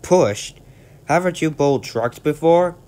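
A man's voice: fairly high pitch, animated, a little slow, warm tone, pitched above the performer's own voice. A man voicing a stern, portly older railway director in a storybook, firm and lecturing.

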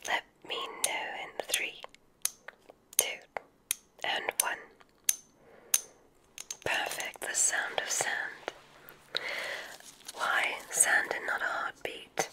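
A woman playing a kindly witch examiner in an ASMR roleplay, whispering in a deliberate, encouraging way with theatrical phrasing. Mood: pleased, encouraging. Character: kindly witch examiner testing a magic school applicant. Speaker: ASMR performer.

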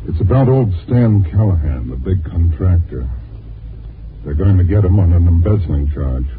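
An actor playing a shady, businesslike private detective in 1950s radio drama, curt and matter-of-fact. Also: male voice, deep voice, lively intonation, moderate pace. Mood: curt, sly. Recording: muffled, hissy 1950s radio recording.

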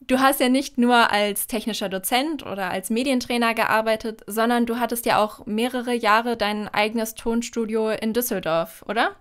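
Woman, podcast host, friendly informal questions, a bit high-pitched. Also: steady tone, normal speed, hardly any pauses, fairly bright tone, smooth. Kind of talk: interview questions.

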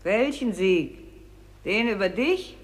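A woman's voice: medium pitch, lively intonation, takes it slowly, soft background music. A performer playing a courtesan's shade, a grave female juror in a poetic radio play. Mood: questioning.

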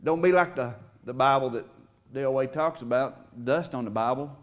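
A man's voice: medium pitch, normal speed. A middle-aged man preaching a heartfelt testimony, with emphatic swells and an emotional edge. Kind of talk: sermon testimony. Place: church sanctuary with stage. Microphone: far camera.

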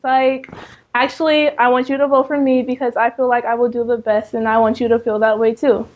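Teenage American girl, somewhat high. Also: level tone, a little fast, darkish tone, highs cut off. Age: teenager, about 14. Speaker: student election candidate. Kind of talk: prepared campaign speech to camera.

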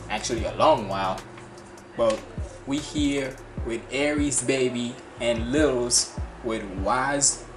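Young Jamaican man hosting a casual reaction stream on webcam, quick slangy delivery, roomy bedroom sound, music in background. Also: mid-range voice. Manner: fast, animated, slangy chatter with frequent restarts. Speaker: reaction channel host. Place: small bedroom indoors. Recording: echoey room with music playing.

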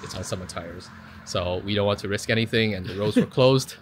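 A young man hosting a travel vlog, chatting in a relaxed way to his friend and the camera. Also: on the low side, a little fast. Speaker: vlogger host. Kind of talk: casual chat. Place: in a car and outdoors. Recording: noisy car and street sound.

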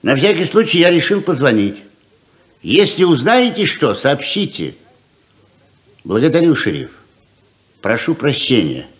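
A man's voice: on the high side, huge pitch swings, unhurried, long pauses. A man playing an easygoing older father of grown sons in a 1970s Soviet radio play, mild and wry in manner. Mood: concerned, polite.

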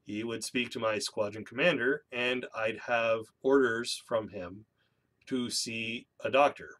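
A man in his thirties hosting a personal vlog, a little congested and nasal from a cold, talking in a candid, measured way with dry self-deprecating asides. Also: medium pitch, a bit bright. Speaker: YouTube channel host. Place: small home room with bookshelves, indoors. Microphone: webcam in front of a seated speaker.

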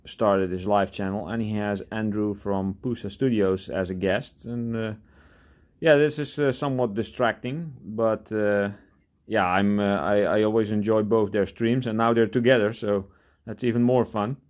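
A man talking casually through a hands-on demonstration in a workshop, halting and thinking aloud as he goes. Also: fairly low pitch, steady tone, darkish tone. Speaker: hobby scrapper presenting his own video. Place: indoor cluttered workshop.

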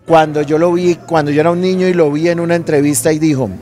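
Animated, joking Colombian man in his forties, guest singer, fairly high voice, handheld mic outdoors over music. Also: fast. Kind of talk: casual interview. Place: outdoor terrace event. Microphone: host's handheld mic.

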